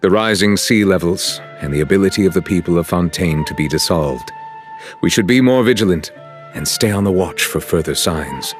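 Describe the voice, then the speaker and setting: An actor playing a solemn, courteous chief justice in a video game, speaking in formal, measured, stately phrases. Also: male voice, low-pitched, lively intonation, soft background music. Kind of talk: scripted game cutscene dialogue.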